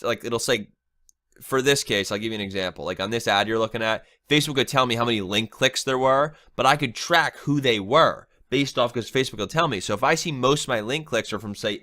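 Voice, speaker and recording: A young man with a bright, forward tone, walking through an online ad setup. His cold leaves him slightly nasal. He talks in a loose, chatty way and keeps checking in with "right?". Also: mid-range voice. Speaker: online marketing trainer. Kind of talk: screen-share tutorial.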